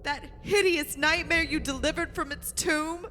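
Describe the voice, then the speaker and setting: Woman acting an overwrought, high-voiced horror-stricken daughter. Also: fairly even intonation, a little slow, sharp tone. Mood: horrified. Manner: dramatic, dread-laden delivery. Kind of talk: old-time radio horror drama acting. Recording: hissy recording in a reverberant hall.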